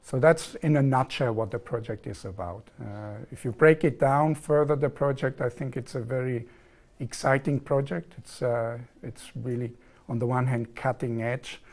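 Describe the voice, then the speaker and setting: A man's voice: medium pitch, fairly even intonation, darkish tone. A man in his forties from southern Germany with a German accent, explaining his work carefully as a guest in a TV studio interview. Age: 40s. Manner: measured, explanatory, repeats set phrases.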